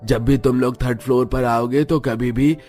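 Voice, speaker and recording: A man's voice: mid-range voice, steady tone, moderate pace, darkish tone, faint music behind, natural voice. A man playing a stern school attendant in a cartoon story, speaking firmly and instructively. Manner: firm, instructive. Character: stern male school peon giving warnings. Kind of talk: Hindi cartoon story dialogue.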